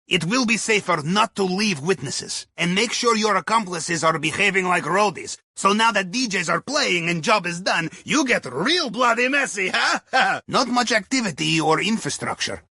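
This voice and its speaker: A sly Russian ex-navy submariner guiding a crew through heists in a video game. His voice is high for a man and husky, swinging widely in pitch. He talks in a wry, conspiratorial way with a Russian accent. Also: sharp tone, no room sound, studio quality, exaggerated voice. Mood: playful. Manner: wry, conspiratorial, with foreign phrasing. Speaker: mission guide over the radio.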